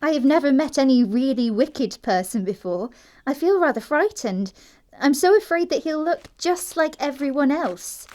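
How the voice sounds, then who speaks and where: A woman's voice: high voice, lively intonation, normal speed, a little mellow. A woman playing a prim, naive yet pert young upper-class English girl in an audio stage comedy, speaking with artless precision. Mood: curious, excited.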